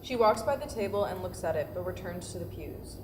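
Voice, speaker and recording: Female stage-directions reader, slightly low pitch, scripted narration, roomy echo with clear noise. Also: expressive, brisk. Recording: echoey, noisy room, live staged reading.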